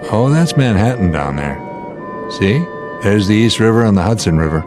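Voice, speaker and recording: An actor playing a calm, commanding airline captain in an old-time radio drama style, speaking in clipped sentences. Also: male voice, lowish voice, moderate pace, lightly acted. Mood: grave, resolute. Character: steady, authoritative male airline captain. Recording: dramatic music underneath.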